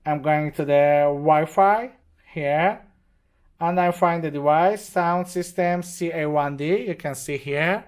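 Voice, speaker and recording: A man with non-native, halting English walks step by step through an unscripted product demonstration. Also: a little high, clear, resonant tone. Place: indoors at a desk with devices.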